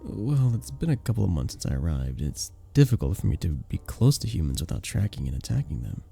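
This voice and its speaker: A very dark, velvety male vampire bartender acted out by a man in an ASMR roleplay. He is sweet, earnest and flirtatious, speaking softly and hesitantly. The pitch is low and swings expressively, with soft music underneath. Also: very animated, fairly quick, vocal fry, husky, heavily acted. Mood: troubled, yearning. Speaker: audio roleplay performer. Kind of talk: ASMR romantic roleplay acting. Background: soft background music.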